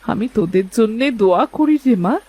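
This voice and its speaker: A woman voicing a grateful, affectionate middle-aged village mother in a cartoon, speaking gently. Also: fairly high pitch, lively intonation, brisk, husky. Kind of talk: cartoon dialogue.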